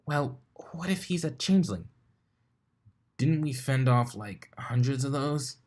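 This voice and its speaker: A man's voice: mid-range voice, lively intonation, measured pace, a little mellow, pitched above the performer's own voice. A man voicing a young, bookish female unicorn who sounds inquisitive and flustered in a cartoon fan story. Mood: alarmed, confused.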